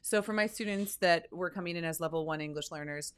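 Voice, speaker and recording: Woman, university center director, enthusiastic teacherly guest, slightly low voice. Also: quick pace, clear, resonant tone. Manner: enthusiastic, chatty, teacherly explaining. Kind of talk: conversational interview.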